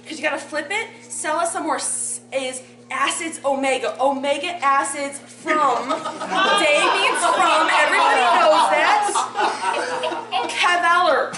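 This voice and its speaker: High-voiced woman lecturing with mock seriousness. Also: unhurried, some chatter behind, a little hiss or hum. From Poland. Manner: deadpan mock-scholarly, reading from a sheet. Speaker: bardic performer. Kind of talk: mock translation comedy bit. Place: community meeting room with audience. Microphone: far camera. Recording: echoey room from afar.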